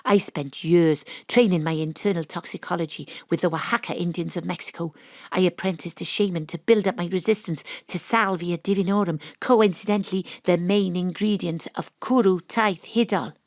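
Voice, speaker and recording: Cool noir detective lady acted by a woman, lowish voice, dry close sound, deliberate and wry. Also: fairly even intonation, darkish tone, no echo, close to an everyday voice. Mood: cool, accusing. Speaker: lead actor and narrator. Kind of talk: noir audio drama narration and dialogue.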